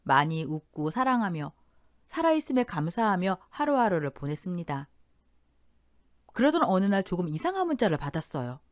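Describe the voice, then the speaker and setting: Woman narrating a radio life story, really lively intonation, dry close sound. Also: on the low side, moderate pace, no room sound. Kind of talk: scripted first-person radio story narration.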